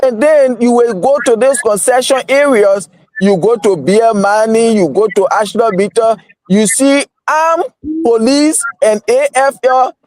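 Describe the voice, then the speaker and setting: A man's voice: extremely high pitch, unhurried, darkish tone, no room sound, low-quality recording. A man hosting a radio talk show, pressing his guest with insistent questions. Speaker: radio host. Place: radio studio.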